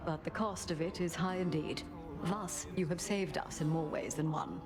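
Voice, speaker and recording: A poised, mature queen of the gods acted by a woman, her voice on the low side. She speaks with stately, gracious formality as in a mythic game, over clearly audible music. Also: normal speed, close to an everyday voice. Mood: gracious, assured. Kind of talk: scripted game acting.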